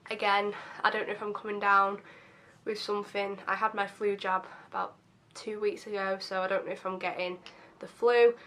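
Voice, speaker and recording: Chatty young woman, slightly croaky. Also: medium pitch, level tone, moderate pace, fairly bright tone. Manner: chatty and self-deprecating, many fillers like um and obviously. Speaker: beauty vlogger. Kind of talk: casual makeup first-impressions chat.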